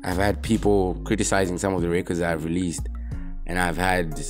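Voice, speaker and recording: Low-voiced young man. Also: moderate pace, over music. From Zimbabwe. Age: young adult. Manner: relaxed, laid-back, drops in "man" often. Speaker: rapper. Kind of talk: casual talk to camera answering questions.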